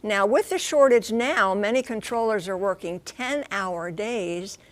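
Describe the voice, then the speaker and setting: A mature American woman correspondent explaining things on live TV news in an animated, folksy, emphatic way. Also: medium pitch, unhurried. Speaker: transportation correspondent.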